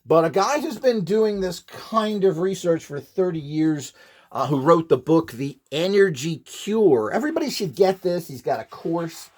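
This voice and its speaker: A man's voice: a little high, animated, unhurried. A man giving an informal, rambling instructional demonstration in an emphatic, opinionated and dismissive manner. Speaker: instructor, video series host.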